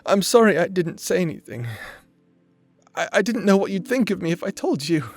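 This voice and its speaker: A man's voice: high voice, expressive, normal speed, soft background music. A man voicing a nervous, bookish young mage who stammers and speaks with hesitant earnestness in a fantasy audio drama. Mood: sad, apologetic. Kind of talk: fantasy audio roleplay acting.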